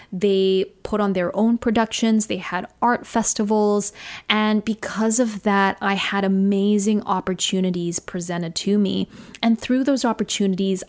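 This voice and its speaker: A woman's voice: mid-range voice, darkish tone. A woman who was born in Singapore and raised in Australia, hosting a solo podcast and telling personal stories in an earnest, reflective way. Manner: earnest, reflective, shifts pace often. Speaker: podcast host.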